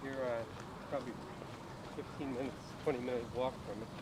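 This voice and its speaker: A man on the park staff giving a visitor halting, helpful directions outdoors. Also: medium pitch, fairly even intonation, darkish tone, poor audio. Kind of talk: casual directions.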